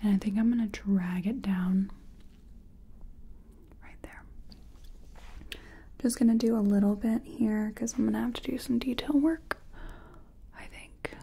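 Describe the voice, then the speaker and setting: A woman playing a nervous makeup artist in an ASMR roleplay, speaking in a breathy whisper and murmuring hesitantly to herself as she works. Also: medium pitch, measured pace, pauses often, dark tone, full character voice. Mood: fretful, focused. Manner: murmured, hesitant self-commentary while working. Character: nervous female makeup artist competing in a contest. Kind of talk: soft-spoken ASMR personal attention roleplay.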